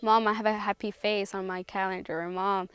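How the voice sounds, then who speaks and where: A young female student talks about her own life in an interview. Her voice sits at an average pitch, and her delivery is personal and conversational. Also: little pitch movement, brisk, a bit bright, clear, resonant tone, no echo. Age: teens to young adult.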